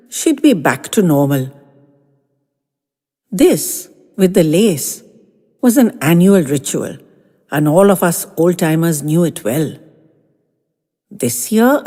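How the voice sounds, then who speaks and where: A woman narrates a short story with a slow, measured delivery and a wide, swooping range of pitch. She phrases it in a literary, dramatic way, pausing between fragments. Her voice rings with long reverb over music. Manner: expressive, measured, literary delivery with deliberate pauses. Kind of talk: dramatic first-person audio fiction reading.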